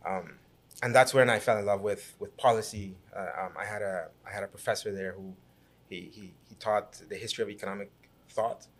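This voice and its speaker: A low-pitched man from Guyana, in his thirties, guest on a podcast. He tells his story with animation, often restarting and repeating words as he goes. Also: fairly even intonation, fairly quick. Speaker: guest, businessman and city councillor. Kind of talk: conversational podcast interview.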